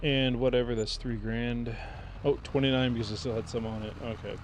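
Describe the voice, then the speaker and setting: A man streaming a farming game, thinking aloud in a relaxed, rambling way as he plays. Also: medium pitch, a little fast, a little hiss or hum. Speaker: gaming streamer. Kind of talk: casual gameplay commentary.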